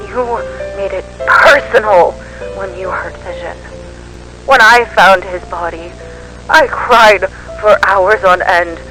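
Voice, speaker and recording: A woman playing a grieving, vengeful woman in a dark amateur audio drama, solemn and accusing in her delivery. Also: mid-range voice, low-quality recording.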